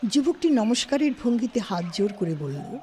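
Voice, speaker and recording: Give me a woman story narrator with a very animated, varied pitch, reading literary prose, with faint noise and birdsong behind her. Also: normal speed. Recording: studio, faint background noise.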